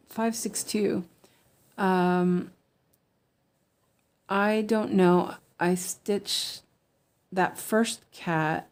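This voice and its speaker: A woman chatting casually while stitching at home, rambling with lots of ums, a little hoarse from allergies. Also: fairly low pitch, darkish tone. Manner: chatty, rambling, many ums and self-corrections. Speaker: cross-stitch streamer. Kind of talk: casual stitch-along chat.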